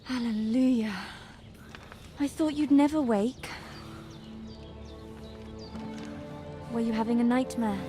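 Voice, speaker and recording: A woman's voice: on the high side, moderate pace, warm tone, faint music behind, natural voice. An actress playing a cheerful young village woman in a game, chatty and teasing with rustic speech.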